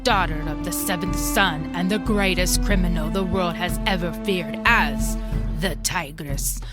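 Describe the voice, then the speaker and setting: A woman voicing a glamorous, dangerous villainess in an audio drama, haughty and taunting. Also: lowish voice. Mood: imperious anger. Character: glamorous femme fatale villainess, imperious, mocking, dangerous.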